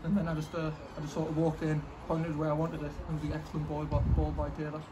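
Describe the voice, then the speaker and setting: A man's voice: a little high, completely flat delivery, quick pace. A young man, a football player, giving modest, matter-of-fact answers after a match. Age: young adult. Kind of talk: post-match interview. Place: football stadium stand, outdoors. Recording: outdoor camera audio with noise.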